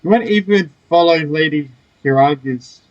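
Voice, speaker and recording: A man's voice: on the high side, measured pace, dull audio. A man doing casual playthrough commentary, reading game dialogue aloud and muttering off-the-cuff asides. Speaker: gaming streamer.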